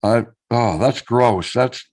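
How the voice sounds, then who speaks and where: A man with a hoarse voice, chatting casually on his live stream, greeting viewers by name and reading out their messages. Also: mid-range voice, takes it slowly, a little mellow.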